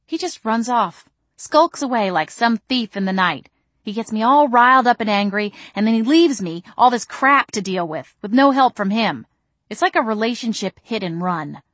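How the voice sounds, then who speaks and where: Woman acting an exasperated, sharp-tongued young vampire woman. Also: mid-range voice, moderate pace, no room sound, pitched above the performer's own voice, natural voice. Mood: angry venting. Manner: emphatic, indignant venting.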